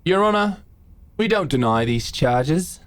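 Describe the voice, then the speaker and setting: A man's voice: fairly high pitch, animated, a little slow. Hapless, hesitant male defence lawyer, acted by a man. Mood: earnest. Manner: hesitant, deferential, awkward. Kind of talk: courtroom roleplay audio drama.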